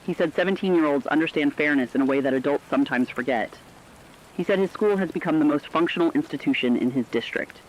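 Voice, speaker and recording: A man's voice: fairly high pitch, fast, a little hiss or hum, lightly acted, poor audio. A man playing an earnest radio correspondent, telling human stories in solemn, scripted narrative reporting. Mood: solemn, moved.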